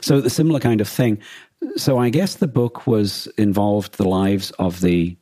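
A man co-hosting a podcast in casual discussion, halting often as he searches for words. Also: lowish voice, fairly even intonation, dark tone, no echo. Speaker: podcast co-host. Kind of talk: casual discussion of a radio drama.

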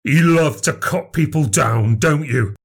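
A man's voice: fairly high pitch, a little slow, creaky, husky, pitched above the performer's own voice, exaggerated voice. A man voicing a middle-aged father whose simmering rage boils over, harsh and accusing. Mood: raging. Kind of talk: audiobook character voice.

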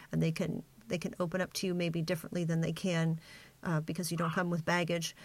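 A woman's voice: lowish voice, no echo. A woman hosting a reflective interview, conversational and tentative as she feels for her words. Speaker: podcast interview host.

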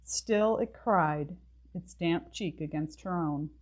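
A woman narrates a short story as its author. Her voice sits a little low for a woman, and she reads from a prepared text. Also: slow, husky.

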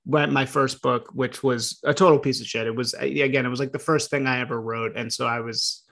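A man from Chicago hosting a casual interview podcast, talking in a rambling, animated way with many fillers and restarts. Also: medium pitch, fairly quick, gravelly. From Chicago, US Midwest. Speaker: podcast host.

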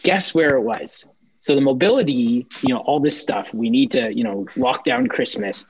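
A man's voice: mid-range voice, very animated, normal speed, creaky, slightly rough, dry recording. A man explaining his points emphatically in a conversational panel discussion. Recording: dry, slightly dull audio.

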